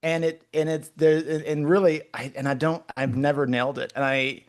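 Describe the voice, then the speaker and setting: A man's voice: on the high side, little pitch movement. A man in his forties from Texas with a Texan accent, a guest artist chatting casually in an interview, rambling and restarting his sentences often. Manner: rambling, halting, many restarts.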